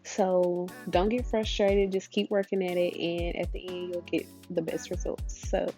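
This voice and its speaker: A young woman with a slightly raspy voice, chatting casually and in a relaxed way through a hair-care tutorial as a beauty vlogger. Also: on the low side, a little slow, faint music behind.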